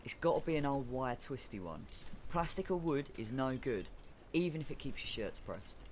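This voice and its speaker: A breezy British island mechanic with a thieving streak played by a man in a comic audio drama. His voice is mid-pitched, wry and matey, over faint vehicle ambience. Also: expressive, moderate pace, slight background noise, lightly acted.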